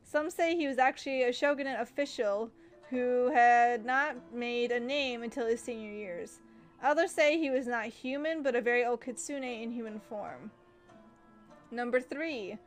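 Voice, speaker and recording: A young woman streaming a game, chatting casually and reading the game's text aloud. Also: a little high. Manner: chatty, giggly, gushing.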